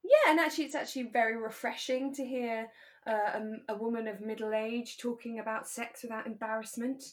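A British woman chatting casually as a podcast co-host, in a talkative, easygoing way that is quick to laugh. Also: on the high side, steady tone. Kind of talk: relaxed casual chat about a radio drama.

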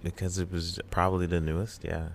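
A 21-year-old man with a deep, low voice sits in as a guest on a casual podcast in his apartment living room. He rambles through stories with a dry, joking edge. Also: little pitch movement, a little fast.

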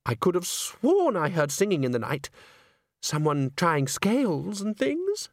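Gushing, airheaded young female singer, played by a male narrator: high-pitched, bubbly, breathless, swooping storybook delivery. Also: wildly swooping pitch, measured pace, dry recording, studio quality, exaggerated voice. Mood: airy cheerfulness. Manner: breathless, exclaiming, cheerful. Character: gushing, girlish, empty-headed young woman singer.

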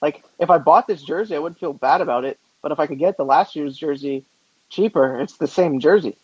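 Man, podcast co-host, quick pace, husky higher voice, teasing banter, slightly muffled lo-fi recording. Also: no echo. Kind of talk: casual sports podcast banter. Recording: slightly dull, lo-fi audio.

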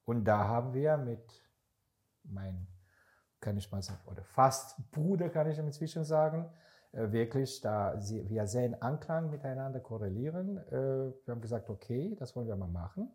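Man from Israel, earnest co-host, mellow voice, gropes for words. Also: mid-range voice, expressive, moderate pace. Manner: searching for words, non-native grammar, earnest and emphatic. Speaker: podcast co-host, investor. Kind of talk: casual podcast conversation.

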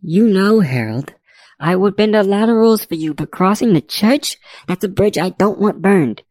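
A woman voicing a brisk, no-nonsense, dismissive female judge in an audiobook. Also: mid-range voice, lively intonation, darkish tone, no echo, pitched above the performer's own voice, natural voice.

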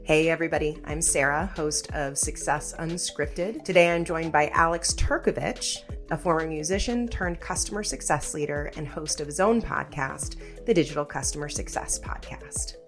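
A woman with a low voice hosts an interview podcast in a chatty, lively way, laughing easily. Faint music plays softly behind her. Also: normal speed, few pauses. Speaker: podcast host. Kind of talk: casual interview chat.

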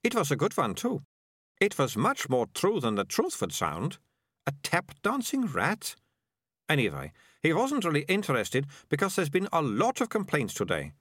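A bossy, self-assured young girl in a storybook, voiced by a male narrator. The voice is raised high and leaps about with dramatic insistence, recorded dry and close. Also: wildly swooping pitch, moderate pace, fairly bright tone, breathy edge, no room sound, exaggerated voice.

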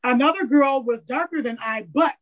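A woman guest in a casual interview, raspy and candid, rambling openly about herself. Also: high-pitched, moderate pace. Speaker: podcast guest. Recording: dull lo-fi audio.

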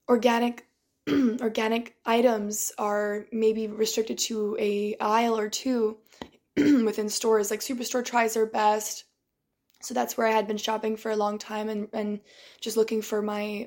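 A woman's voice: fairly high pitch, darkish tone. Woman with a tired, strained voice. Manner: halting, with many restarts and throat clearing. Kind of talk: casual reflective monologue.

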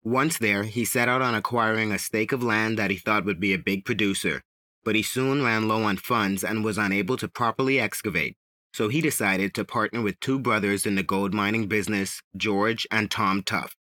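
A man with a fairly low voice narrates as a podcast host. He reads a scripted true-crime story in measured phrases, with clear pauses. The sound is dry and close to the microphone. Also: fairly even intonation, pushed and bright, no room sound. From the Bahamas.

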